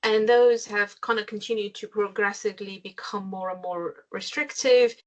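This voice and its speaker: A woman from Syria, a guest speaker giving a measured briefing over a video call, with frequent pauses. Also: mid-range voice, steady tone, lo-fi sound. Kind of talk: webinar briefing.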